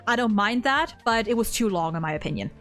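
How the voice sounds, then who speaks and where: A woman's voice: a little high, expressive, fast, fairly bright tone, faint music behind. A young woman chatting casually as the host of a gaming discussion, informal and sweary, gushing like a fan. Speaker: host of a video game ranking discussion. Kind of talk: casual chat.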